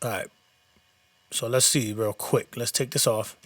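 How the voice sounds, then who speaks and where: A man's voice: medium pitch, a little slow. A man with a nasal voice, hosting a casual studio tutorial on mixing. He talks loosely and rambles a little, with plenty of fillers. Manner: relaxed, rambling explanations with fillers. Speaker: mixing engineer and channel host. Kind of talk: casual screen-share tutorial.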